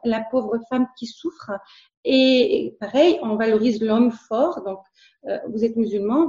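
A woman's voice: a little high, dark tone, dull audio. Hesitant woman in a roomy room. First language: Arabic. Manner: hesitant, many fillers and restarts. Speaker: interview guest. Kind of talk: casual interview conversation.

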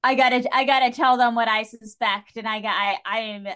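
Casting: female podcast guest, informal and salty-tongued, animated delivery in casual literary chat. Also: a little high, moderate pace, smooth. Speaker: guest on a book discussion podcast.

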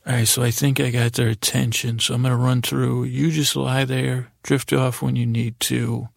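A man hosting a bedtime podcast with a gravelly, husky voice, rambling and halting in a soothing, unhurried, self-deprecating way. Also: mid-range voice, little pitch movement, no echo, clean studio sound. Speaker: podcast host. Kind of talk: meandering bedtime podcast monologue.

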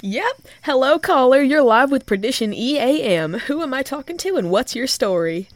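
A woman acts a new late-night radio host with a fairly high voice. She is jittery but sardonic, chatting informally in an audio drama with a dry, sweary edge. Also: lively intonation, normal speed, slight background noise. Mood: welcoming.

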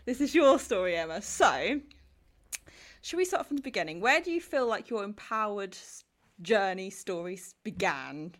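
A woman's voice: mid-range voice, animated, normal speed, fairly bright tone. Bubbly young woman podcast host. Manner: bubbly, effusive, restarts her sentences. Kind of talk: casual interview chat.

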